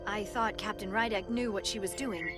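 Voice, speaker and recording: A woman's voice: mid-range voice, moderate pace, natural voice. A woman playing an earnest, questioning starship crew member in a video game. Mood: puzzled. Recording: loud music over the voice.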